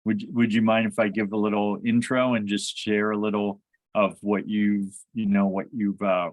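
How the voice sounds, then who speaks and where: A man hosting an interview, halting and often restarting his phrases. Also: fairly low pitch, flat delivery, no echo. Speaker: podcast host. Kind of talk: conversational interview.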